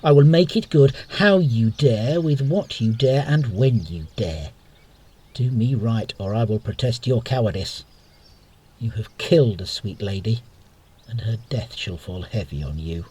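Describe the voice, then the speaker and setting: Stern, slow, husky gentleman soldier. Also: medium pitch, expressive. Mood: grim challenging. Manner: deliberate, grave, formal. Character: proud, stern young gentleman soldier, coldly challenging. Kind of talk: Shakespearean radio play acting. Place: home recording.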